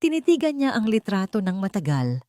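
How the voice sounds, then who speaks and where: A woman's voice: mid-range voice, very animated, a little fast, warm tone, no room sound, studio quality. A woman narrating a scripted, dramatic audio story as the storyteller.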